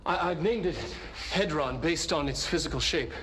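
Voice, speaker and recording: An awkward, excitable video game scientist, played by a man, stammers as he explains. His voice is forceful and a little high, with faint noise on the recording. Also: natural voice. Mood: eager, nervous. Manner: stammering, hurried.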